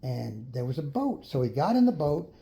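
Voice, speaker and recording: An older man improvising a children's story in a folksy, unhurried way, pausing for others to join in. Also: fairly high pitch, sing-song, gravelly, warm tone, slight background noise. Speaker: grandfather storyteller.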